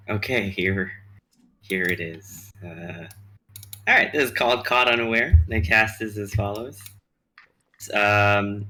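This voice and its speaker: A man's voice: fairly low pitch, bright, forceful tone, rough recording. A young adult man from a sketch reading group, talking casually with some room noise behind him. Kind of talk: casual chat. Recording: clear background noise, room ambience.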